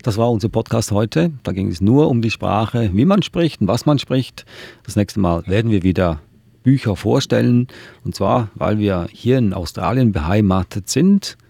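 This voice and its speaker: A man hosting a radio podcast, chatting in a relaxed way with occasional filler pauses. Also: fairly low pitch, gravelly, dry recording. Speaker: podcast host.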